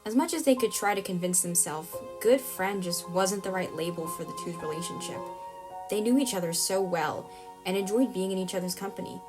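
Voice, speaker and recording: Female narrator for an audio drama, mid-range pitch, scripted storytelling with clear music underneath. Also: a little fast.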